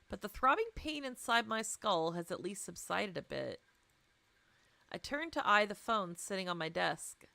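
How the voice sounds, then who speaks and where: A woman livestreaming a visual novel, switching between playful, chatty asides and expressive read-aloud narration. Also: medium pitch, no room sound. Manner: playful, chatty, self-deprecating. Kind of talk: casual stream chat mixed with expressive read-aloud narration. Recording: music playing behind the voice. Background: game music.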